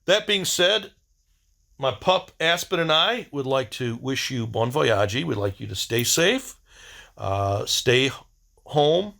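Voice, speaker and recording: A man hosting a casual video diary, rambling in a blunt, wry way and often halting to search for words. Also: medium pitch, animated, creaky, fairly bright tone.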